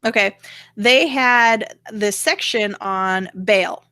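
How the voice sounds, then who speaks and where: A woman's voice: mid-range voice, takes it slowly, sharp tone, dry recording, studio quality. A woman hosting a casual political chat, explaining her opinions as she goes. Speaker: show host.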